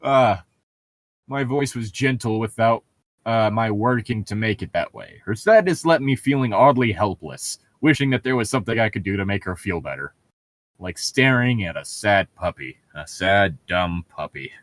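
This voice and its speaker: A man's voice: fairly low pitch, lively intonation, moderate pace, fairly bright tone. A man co-hosting a read-aloud, delivering prose and breaking off for irreverent, sarcastic, sweary commentary. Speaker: co-host reading a novel aloud with commentary. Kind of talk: reading aloud with casual joking commentary.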